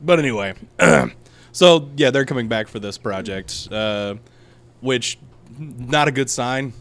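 A man's voice: mid-range voice, expressive, takes it slowly, fairly bright tone. Male podcast host, sore throat, rambling, lots of ums. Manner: rambling, restarting, frequent ums. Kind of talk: casual chat.

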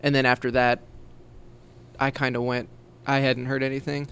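A man's voice: medium pitch, little pitch movement, moderate pace, fairly bright tone, clean tone. A man co-hosting a casual podcast chat, talking in a loose way with many restarts. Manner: chatty, restarting sentences. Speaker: co-host.

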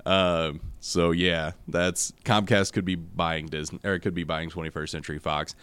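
A man hosting a casual news chat podcast, hoarse from losing his voice, rambling with fillers and frequent laughs. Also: sits low, flat delivery, normal speed, a bit bright. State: hoarse, lost voice. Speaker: podcast host.

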